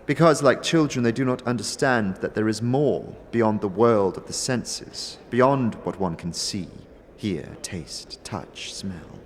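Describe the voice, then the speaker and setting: A man puts on a solemn, scornful mystic lady for a fantasy audio drama. Her speech is formal and measured, broken by dramatic pauses. The intonation rises and falls widely, over a noticeable noise bed. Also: on the low side, expressive. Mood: lofty, didactic. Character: solemn, aloof mystic noblewoman, grave and scornful.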